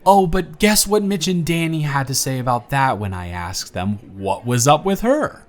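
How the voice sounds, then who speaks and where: Man acting a giddy, animated blind date guy. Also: fairly high pitch, moderate pace. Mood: exasperated, embarrassed. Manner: animated, bantering, playful storytelling with stammers. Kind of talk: scripted romantic audio roleplay, one-sided dialogue to the listener.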